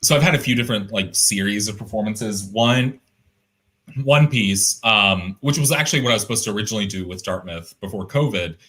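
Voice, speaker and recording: A young man speaks over a video call, his deep, resonant voice carrying a bright, forward edge. His pitch sits a bit low, and he rambles thoughtfully, restarting sentences and using lots of fillers. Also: a little fast. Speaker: artist guest. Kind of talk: casual conversational interview.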